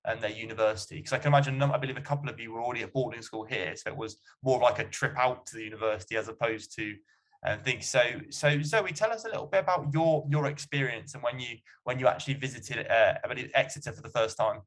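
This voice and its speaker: Fast-talking male panel host. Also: mid-range voice, fairly bright tone. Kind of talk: hosting an online student Q&A panel.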